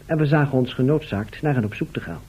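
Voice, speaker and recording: A man playing a time traveller who narrates his adventure in a radio play, measured and suspenseful. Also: mid-range voice, a little mellow, slightly rough, natural voice. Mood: grave, suspenseful. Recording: muffled, phone-like old radio sound.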